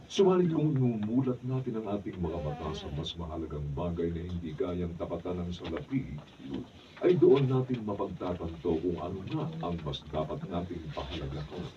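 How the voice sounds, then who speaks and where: A man's voice: low voice, lively intonation. A man giving the solemn closing moral and credits of a radio drama. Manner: slow, solemn, measured. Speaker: narrator and announcer. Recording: muffled, noisy, from far off.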